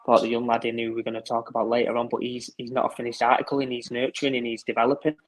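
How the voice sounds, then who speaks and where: Male pundit, monotone, halting casual chat. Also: mid-range voice. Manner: hesitant, repeats words. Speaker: guest pundit.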